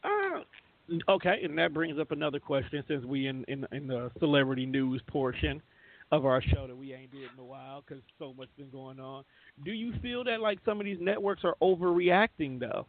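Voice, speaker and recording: A man's voice: on the high side, expressive, moderate pace. A man hosting a radio show with casual, rambling, colloquial celebrity-news banter and some swearing. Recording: thin phone-like sound, roomy echo.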